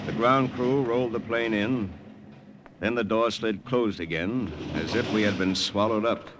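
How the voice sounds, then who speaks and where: A man's voice: lowish voice, unhurried, background noise, lightly acted. An actor playing a dry, wisecracking American army lieutenant who narrates his secret mission in a 1950s radio drama. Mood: tense narration. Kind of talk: 1950s radio drama acting, hard-boiled first-person narration. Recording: old 1950s radio recording, loud music.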